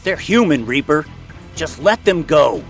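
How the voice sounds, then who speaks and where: Protective superhero dad, expressive pitch. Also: male voice, unhurried, soft background music. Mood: tense, pleading. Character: protective superhero father, urgent and defiant. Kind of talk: superhero audio drama acting.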